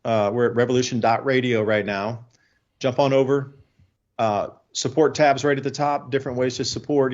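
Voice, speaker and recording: A man hosts a talk-radio show, speaking off the cuff in an informal way. His voice sits at an average pitch, and the audio is a little dull and lo-fi.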